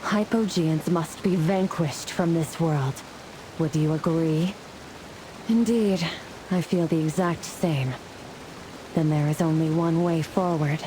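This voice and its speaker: A woman's voice: deep voice, slow pace, noisy background. A woman playing a resolute celestial fire warrior in a fantasy game, speaking in a grave, formal, declamatory way. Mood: solemn, resolute. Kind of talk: scripted fantasy game voice acting. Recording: music and rain behind the voice. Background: music, rain.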